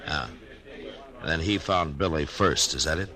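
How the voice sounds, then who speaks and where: A man playing a steady, laconic frontier marshal in an old radio western, slow and hesitant with many pauses. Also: rumbling low. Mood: probing. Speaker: narrator and lead character. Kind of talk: 1950s radio western acting.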